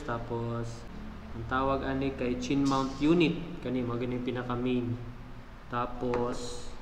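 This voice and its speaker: A Filipino man with a Visayan accent giving a casual gear demonstration as a vlogger, talking conversationally and checking in with viewers. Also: mid-range voice. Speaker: motovlogger presenting his gear. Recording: echoey reverberant room, clear background noise.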